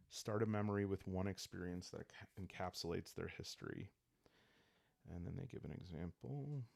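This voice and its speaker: A man with a calm, creaky voice hosting a relaxed solo roleplaying game, musing aloud in an unhurried, hesitant way. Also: sits low, no room sound, studio quality. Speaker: host of a solo roleplaying playthrough. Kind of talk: relaxed ASMR game narration, thinking aloud.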